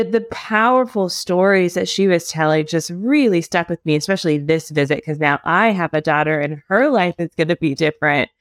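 A woman from Miami with a lowish voice hosts a podcast and chats in a relaxed, casual way. She nods along with her guest through frequent short "yeah"s. The recording is dry and close-miked. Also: dry recording. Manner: friendly, lots of backchanneling. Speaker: podcast host.

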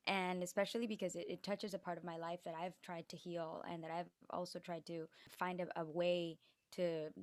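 Young adult woman from Colombia, musician guest; low register, dry close sound, earnest and quick to laugh. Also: level tone, dry recording. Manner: earnest, laughs often, restarts phrases. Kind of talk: reflective interview talk.

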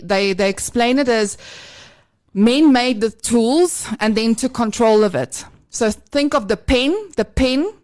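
A woman hosting a podcast, explaining an idea in an upbeat, conversational way. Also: fairly high pitch. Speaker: podcast host. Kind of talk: casual podcast chat.

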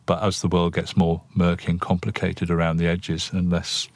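A man's voice: deep voice, monotone, moderate pace, no echo. A man speaking as a podcast guest in casual discussion, thoughtful and tentative, often seeking agreement.

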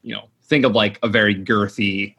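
A man's voice: lowish voice, fairly even intonation, moderate pace, pushed and bright, husky. A man in his late twenties chatting casually, rambling with lots of fillers and restarts. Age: 20s. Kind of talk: casual chat.

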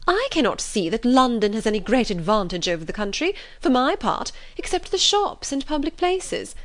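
A woman's voice: fairly high pitch, big pitch swings, moderate pace, voice pushed up. A woman voicing a fussy, gushing, easily offended middle-aged mother in a storybook, with an effusive, emphatic manner. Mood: triumphant.

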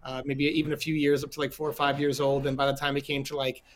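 Easygoing podcast host, slightly high voice. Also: male voice, little pitch movement, fast, a little hiss or hum. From Staten Island, New York. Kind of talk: casual interview chat.

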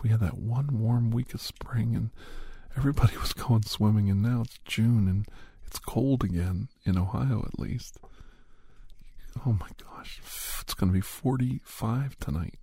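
A middle-aged man hosting a whispered ASMR roleplay, chatting softly and gently teasing the listener as a caregiver. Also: lowish voice, unhurried, husky, no echo. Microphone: close microphone.

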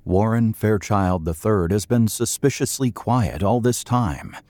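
A man narrates a scripted audio drama in a low, mellow voice. The delivery is polished and suspenseful, captured very dry. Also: measured pace, no echo.

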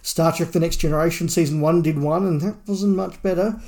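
A man's voice: fairly high pitch, steady tone, fairly quick, vocal fry, darkish tone. An Australian man in his forties, a podcast co-host giving his opinions in a casual, conversational way. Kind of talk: casual chat, discussion.

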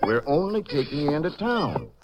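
A folksy small-town sheriff in a radio western, coaxing and familiar, with widely swinging pitch. The recording is dull and hissy, like old 1950s radio. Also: male voice, big pitch swings, moderate pace.

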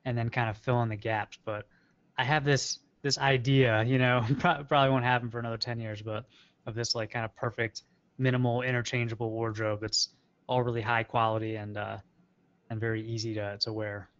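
A man's voice: mid-range voice, level tone, fairly quick, a bit bright, muffled recording. A male podcast co-host chatting casually and informally, thinking aloud. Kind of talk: casual chat.

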